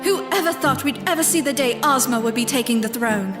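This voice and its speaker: An actress playing an excitable, gushing ruler of a magic land in a fantasy audio drama. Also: female voice, sits high, brisk, music in the background, lightly acted. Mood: delighted.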